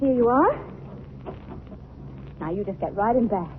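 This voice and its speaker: A breezy radio-play heroine who is quick to exclaim and alarm. Her pitch moves around a lot in familiar, chatty phrases. The 1940s recording is tinny and plainly noisy. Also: expressive. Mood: helpful, puzzled. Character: Margot Lane, lovely companion of a crime fighter, chatty and easily alarmed.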